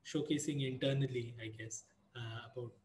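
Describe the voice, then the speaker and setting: A man's voice: medium pitch, fairly even intonation, moderate pace, darkish tone. A man hosting an online community call in a casual, informal and somewhat hesitant, rambling way. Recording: online call with clear background noise.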